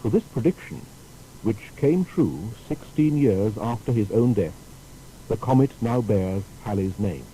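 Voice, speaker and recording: A man's voice: lowish voice, a little slow, poor audio. A man narrating a scripted historical biography in a formal, storytelling manner. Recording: steady faint background noise.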